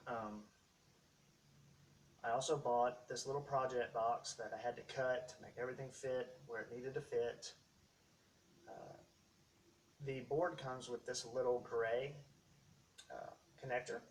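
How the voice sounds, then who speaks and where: A man with a medium-pitched voice hosts an unscripted tech walkthrough. He explains things step by step in short, halting phrases. The mic sits some distance away, and there is faint noise behind him. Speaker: host of a how-to tech video series. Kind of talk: casual unscripted product walkthrough.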